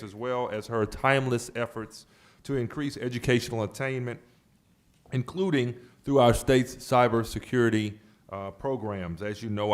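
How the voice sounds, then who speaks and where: A man with a fairly low voice chairs a public board meeting. He moves through remarks and procedure in a halting manner, often restarting a phrase, keeping it official but informal.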